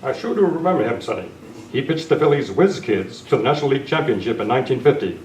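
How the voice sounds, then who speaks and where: A man playing a calm, commanding, courteous masked western hero in an amateur radio play. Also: mid-range voice, quick pace, a little hiss or hum, lightly acted. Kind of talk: amateur old-time radio western re-enactment. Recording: echoey meeting room, distant mic.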